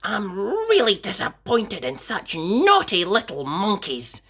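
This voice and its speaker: A woman's voice: mid-range voice, huge pitch swings, takes it slowly, fairly bright tone, slightly rough, pitched above the performer's own voice, heavily acted. A woman voicing an exasperated, fussy storybook lady who scolds and complains dramatically. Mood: disappointed.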